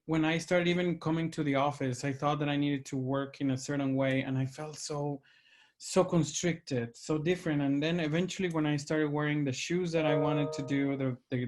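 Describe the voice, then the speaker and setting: A man with a Mexican accent, hosting a conversation, speaking earnestly and searching for his words. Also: fairly high pitch. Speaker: host. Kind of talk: reflective conversation.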